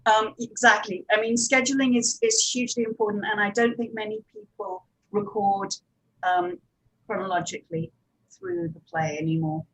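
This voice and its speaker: A woman's voice: mid-range voice, animated, normal speed, creaky, husky, rough recording. A woman producer and director talking informally on a panel, in a conversational, hedging way.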